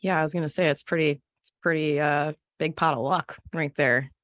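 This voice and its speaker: A woman's voice: low-pitched, steady tone, fairly quick, fairly bright tone, dry recording. A woman hosting a podcast, interviewing in a casual, conversational way.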